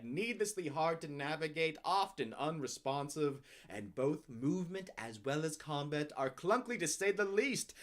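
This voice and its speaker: A man's voice: on the high side, fairly bright tone. A man giving a dramatic, grandiose reading of scripted text, with emphatic swells and drops in his delivery. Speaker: narrator reading out a user review.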